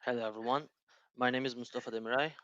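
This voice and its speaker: Brief: man, smooth resonant voice, dry and slightly dull webinar audio, polite intro. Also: mid-range voice, fairly even intonation, fast talker, fairly bright tone, no echo. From Turkey. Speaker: co-presenter. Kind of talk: self-introduction.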